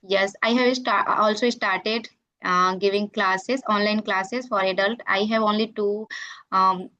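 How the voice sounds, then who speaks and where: A woman's voice: mid-range voice, fairly even intonation, measured pace, sharp tone, rough recording. A woman with an Indian accent chatting casually in non-native English, often checking in with short tags. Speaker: YouTuber and online English teacher. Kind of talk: casual English practice conversation.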